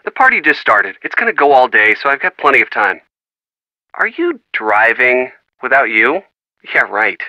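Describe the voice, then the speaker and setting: A man's voice: medium pitch, sing-song, moderate pace, bright, forceful tone, no room sound. A man playing a supportive young boyfriend in a video game, casual, wry and affectionate over a phone line. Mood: upbeat. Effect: telephone filter. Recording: band-limited phone-line sound.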